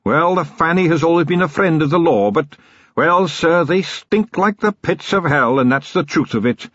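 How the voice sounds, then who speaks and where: A man putting on a nervous old riverboat captain from a storybook, pitched high and pleading. His husky voice wavers between anxious and resigned. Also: animated, moderate pace, a bit bright, no room sound, dull audio.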